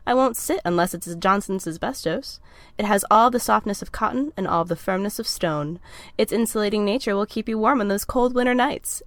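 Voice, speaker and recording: A woman playing a chipper sponsor pitchwoman in a parody of old radio ads, with polished salesmanship. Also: no room sound, lightly acted. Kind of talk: parody vintage radio advertisement.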